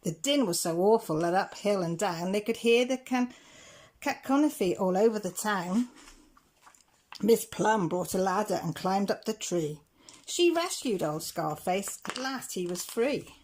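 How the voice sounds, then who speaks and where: A woman reading rhyming picture-book stories aloud in a measured, rhythmic way. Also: mid-range voice, animated, a little mellow. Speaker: children's story reader.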